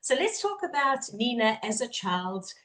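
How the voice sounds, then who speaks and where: A woman's voice: a little high, very animated, moderate pace, a bit bright. Chatty woman podcast host. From Israel-based, English-speaking. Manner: chatty, enthusiastic, restarts often. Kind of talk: warm interview chat.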